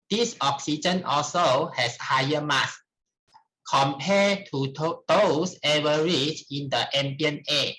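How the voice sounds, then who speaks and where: A middle-aged man with a Malaysian accent gives a formal academic presentation in a halting, deliberate manner. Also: a little high, pushed and bright, rough recording. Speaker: researcher presenting at a conference.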